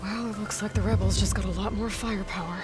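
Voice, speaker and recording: An actress playing a headstrong, practical young heroine in a video game, speaking directly and insistently. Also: female voice, medium pitch, steady tone, fast, over loud music, natural voice. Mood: pressing. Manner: direct, matter-of-fact, insistent. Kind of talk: naturalistic video game acting.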